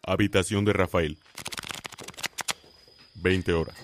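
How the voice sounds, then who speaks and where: Very deep male scene announcer. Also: steady tone, slow, slight background noise. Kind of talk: terse scripted radio drama scene announcements.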